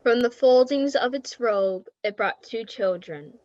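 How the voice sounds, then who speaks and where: A woman's voice: fairly high pitch, unhurried, darkish tone, no echo, muffled recording. A teenage woman reading scripted narration for a radio play.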